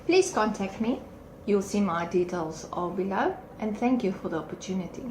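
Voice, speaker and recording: A woman's voice: mid-range voice, lively intonation, normal speed. A woman in her fifties presenting herself for a job in a formal, confident way. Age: 50s. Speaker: job applicant. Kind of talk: prepared self-presentation. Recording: echoey room with clear background noise.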